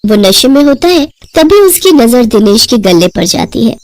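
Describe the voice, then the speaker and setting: A woman's voice: fairly high pitch, very animated, normal speed, a little mellow. A woman narrating a scripted moral story as its storyteller.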